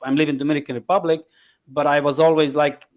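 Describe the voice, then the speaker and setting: A man's voice: a little high, level tone, fast. A man from Slovenia with a Slovenian accent, answering interview questions conversationally as a guest, in short listed fragments of non-native English. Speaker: podcast guest, life coach.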